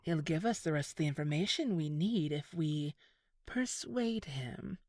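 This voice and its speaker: A woman acts an unflappable ex-criminal old flame in a detective audio drama. She talks slowly in a lowish voice, measured and dryly sardonic, recorded dry. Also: animated, darkish tone, dry recording. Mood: persuasive. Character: unflappable reformed criminal ex-girlfriend turned informant.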